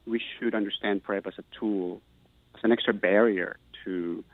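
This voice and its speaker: A man's voice: fairly low pitch, steady tone, normal speed, no room sound, phone-quality sound. A middle-aged man from Peru, giving earnest, thoughtful interview answers and restarting words as he searches for them. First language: Spanish. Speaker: guest, Spanish professor.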